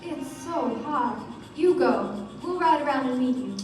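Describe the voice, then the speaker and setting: A woman's voice: high-pitched, animated, measured pace, darkish tone, soft background music. A woman playing a restless, pampered society wife in a radio play, impulsive and plaintive. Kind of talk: stage radio play acting. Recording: echoey stage from far off, clear noise.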